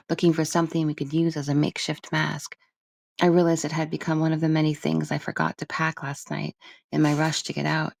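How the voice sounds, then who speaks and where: A woman reading literary first-person narration for an audiobook in a measured, expressive storytelling manner. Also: sits low, creaky, no echo. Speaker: audiobook reader.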